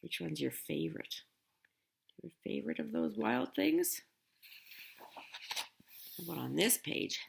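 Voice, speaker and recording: A woman's voice: fairly low pitch, a little slow, gravelly, pauses often, husky. A woman reading a storybook aloud expressively, with chatty asides for young listeners. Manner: expressive, dramatic emphasis on story lines. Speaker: picture book reader.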